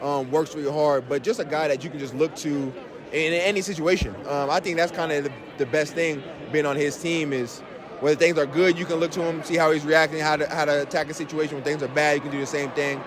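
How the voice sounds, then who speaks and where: Fast-talking man in a crowded interview. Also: on the high side, steady tone, crowd noise. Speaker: football player. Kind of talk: casual interview answer.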